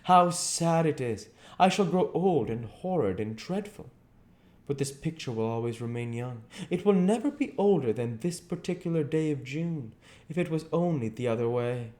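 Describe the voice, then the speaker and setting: A man's voice: fairly high pitch, lively intonation, moderate pace, darkish tone, pitched above the performer's own voice, natural voice. A man doing an anguished, vain young man.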